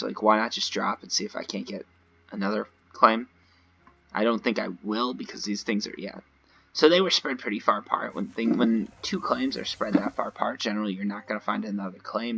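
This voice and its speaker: A man with a slightly hoarse voice gives casual, rambling gameplay commentary, talking directly to his viewers in a conversational way. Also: lowish voice, sharp tone. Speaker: gaming video creator. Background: voice-over recorded over gameplay.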